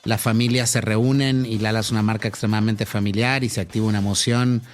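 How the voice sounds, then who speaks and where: A man's voice: on the low side, level tone, fast, soft background music. A middle-aged man from Argentina with an Argentine accent, a marketing executive answering a podcast interview in a thoughtful way, with many fillers and restarts.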